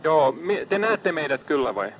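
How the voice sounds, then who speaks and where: A man with a fairly high voice speaks as a ship's radio operator. He handles distress traffic procedurally, repeating details back, over a dull, lo-fi marine radio channel. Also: sing-song.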